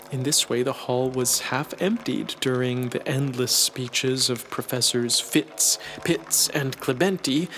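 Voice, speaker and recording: A man reading a satirical literary story as a narrator, in a measured, expressive storytelling manner. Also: medium pitch, slight background noise. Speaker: audiobook narrator. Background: music.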